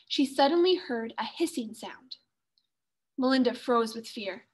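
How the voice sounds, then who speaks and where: A woman teacher reading a children's story aloud with expressive narration. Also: high voice.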